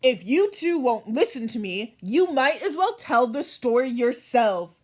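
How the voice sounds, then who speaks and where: A woman as an exasperated, high-voiced fairy-tale storyteller. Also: very animated, unhurried. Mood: put-upon. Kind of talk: comic storybook read-aloud.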